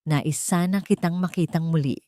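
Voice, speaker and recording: A courteous, lovelorn billionaire man from a romance story, voiced by a woman narrator. He is soft-spoken and wistful. The recording sounds dry and close. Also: fairly low pitch, steady tone, moderate pace, dry recording, natural voice. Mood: wistful longing.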